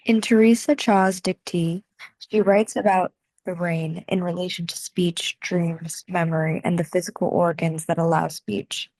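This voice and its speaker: A young woman with an American accent narrates a personal essay in a measured, soft-spoken way. Her voice sits a little low for a woman, and the sound is dry and close, with no room around it. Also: fairly even intonation, no room sound, low-quality recording. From United States. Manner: measured, soft-spoken reading with deliberate pauses. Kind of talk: scripted reflective narration.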